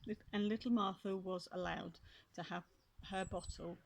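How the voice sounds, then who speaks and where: An older woman reading a children's story aloud, expressively, with gently chatty asides to young listeners. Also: medium pitch, fairly even intonation, normal speed. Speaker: grandmother reading a children's book. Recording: clear background noise and clicks.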